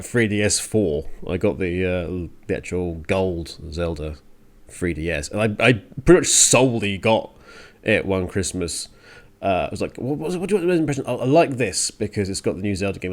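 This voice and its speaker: A man hosting a music radio show in a casual, rambling, informal way, often repeating himself. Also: lowish voice.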